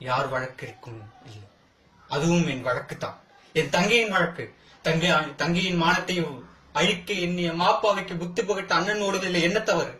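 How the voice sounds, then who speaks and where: A man's voice: high voice, pushed and bright. Man acting impassioned wronged brother in court, echoey. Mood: indignant. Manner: declamatory, rhetorical, impassioned, with dramatic pauses. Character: wronged, defiant brother pleading his case in court. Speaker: amateur actor practising. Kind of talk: theatrical Tamil film courtroom monologue. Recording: echoey room.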